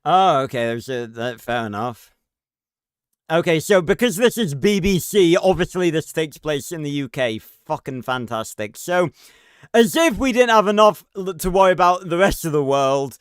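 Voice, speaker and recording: A man's voice: on the high side, lively intonation, normal speed, smooth, no room sound, studio quality. A man giving casual, rambling livestream reaction commentary with frequent swearing. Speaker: reaction streamer.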